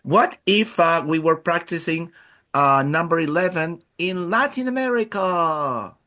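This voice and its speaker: A man's voice: a little high, expressive, measured pace, bright, forceful tone. A man from Chile with a Chilean accent, a native Spanish speaker, teaching a language class in an encouraging, playful way with many fillers.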